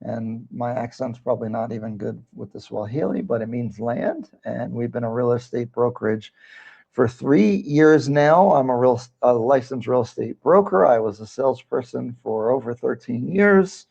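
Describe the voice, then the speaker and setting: Gravelly-voiced man chatting on a livestream. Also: mid-range voice, normal speed, darkish tone, highs cut off. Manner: rambling, conversational, reads viewer comments aloud. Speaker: livestream host, real estate broker. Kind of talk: casual livestream chat.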